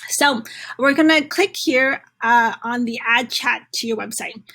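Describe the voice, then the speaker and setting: Higher-voiced woman giving a software tutorial. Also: unhurried. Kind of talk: step-by-step screen-share tutorial, conversational.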